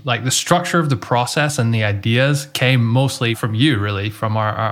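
A man's voice: lowish voice, fairly bright tone. A man hosting a podcast interview, talking conversationally and often repeating words as he searches for them. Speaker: podcast host. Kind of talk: casual interview talk.

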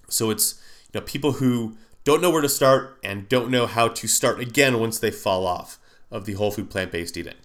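A man's voice: medium pitch, fairly bright tone. A man hosting a podcast, talking casually with a nasal, congested voice from a cold, rambling with many fillers and restarts. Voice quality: nasal, stuffy from a sinus cold. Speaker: podcast host. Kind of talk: casual solo podcast monologue.

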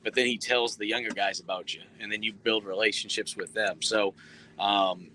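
A man in his thirties, a sports reporter, talking earnestly in a relaxed interview with many fillers. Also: fairly low pitch, normal speed, a little hiss or hum, low-quality recording. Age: 30s. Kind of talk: casual interview talk.

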